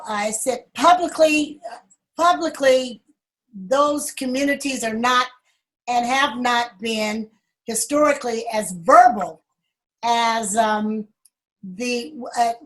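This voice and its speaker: A woman speaking as a guest on a talk panel, her voice high and her delivery slow. She pauses and fills gaps with ums as she works toward her point. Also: fairly bright tone, poor audio. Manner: slow, hesitant, many ums. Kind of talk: public affairs panel talk.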